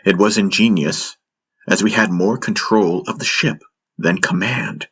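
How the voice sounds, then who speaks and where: Deep raspy guy narrating a dramatic sci-fi audiobook, takes it slow, music behind. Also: a bit bright, highs cut off. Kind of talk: dramatic scripted first-person narration. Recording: music bed under the voice.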